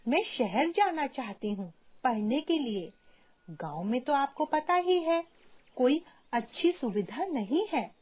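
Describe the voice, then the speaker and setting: A woman's voice: high-pitched, big pitch swings, unhurried, breathy edge, voice pushed up, exaggerated voice. A woman voicing a determined, respectful young daughter in a Hindi moral story, earnest and pleading. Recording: dull lo-fi audio, music behind.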